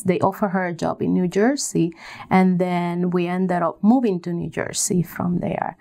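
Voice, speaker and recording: Lower-voiced woman from Puerto Rico. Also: creaky, a little mellow. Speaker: interview guest, business coach. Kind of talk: conversational interview answers.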